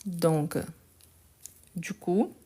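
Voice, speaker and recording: Slow, patient woman teaching French. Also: on the low side, dark tone, slightly rough. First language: Portuguese. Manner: patient, repeats words slowly, checks in with "ok?". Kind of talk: correcting a written exercise.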